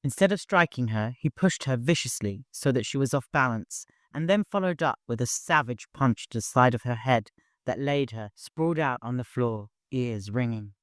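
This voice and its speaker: A man's voice: mid-range voice, animated, normal speed, fairly bright tone, dry recording. Male narrator, mid-range voice, dramatic scripted storytelling, dry studio-like sound. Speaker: audiobook narrator.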